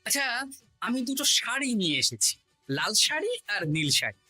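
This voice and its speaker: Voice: medium pitch, big pitch swings, fairly quick, sharp tone, no echo, soft background music, heavily acted. An actor playing a clever, witty male court jester in a cartoon, sly and confident as he explains things. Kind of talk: cartoon voice acting.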